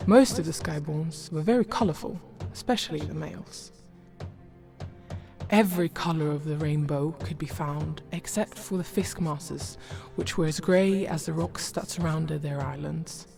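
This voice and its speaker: Female storybook narrator, low-pitched and measured, over prominent music. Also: creaky. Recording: loud music behind.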